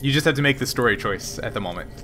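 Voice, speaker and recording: A man's voice: mid-range voice, lively intonation, pushed and bright. Man running a tabletop game over music. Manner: halting, filler-heavy narration with frequent restarts. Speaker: game master.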